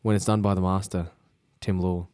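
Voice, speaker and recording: A young Australian man with a smooth, resonant voice sitting a little low in pitch. He talks in a laid-back, rambling way and laughs easily. The sound is close and dry, with no room behind it. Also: little pitch movement, moderate pace, darkish tone, no room sound. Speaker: podcast co-host. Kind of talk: casual chat. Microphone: podcast microphone.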